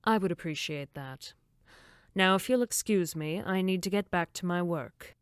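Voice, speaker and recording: A woman's voice: fairly low pitch, normal speed, smooth, no echo, studio quality, lightly acted. A woman playing a prim, condescending female camp director in a horror audio drama, coolly polite. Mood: falsely polite. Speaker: voice actor.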